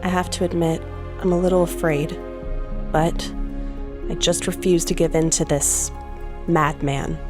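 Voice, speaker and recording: A woman's voice: fairly low pitch, fairly even intonation, a little slow, pauses often, music in the background, close to an everyday voice. A woman playing a caring, anxious but defiant companion in an audio drama, speaking conversationally. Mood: anxious, candid.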